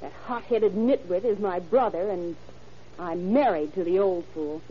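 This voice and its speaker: A woman's voice: on the low side, a little slow, lightly acted. An actress playing a brazen female forger who feigns innocence in an old-time radio crime drama, with theatrical delivery. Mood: hard, scornful. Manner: theatrical, switching between pleading and hard-boiled. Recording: muffled, noisy vintage radio recording.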